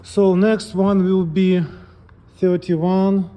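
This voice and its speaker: Slow, dark-voiced man giving a technical tutorial. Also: high-pitched, flat delivery. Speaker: presenter of a scale calibration tutorial. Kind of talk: unscripted step-by-step technical demonstration.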